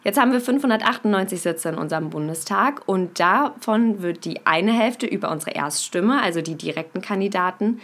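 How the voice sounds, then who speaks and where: A woman's voice: medium pitch, animated, fairly quick, hardly any pauses, fairly bright tone. Young woman hosting a podcast. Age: young adult. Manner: explanatory, addresses listeners directly. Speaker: podcast host. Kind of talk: scripted podcast intro and explainer.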